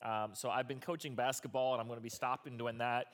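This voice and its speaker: A middle-aged male pastor with a hoarse, strained voice, preaching casually with rambling asides. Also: mid-range voice, steady tone, quick pace. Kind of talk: sermon.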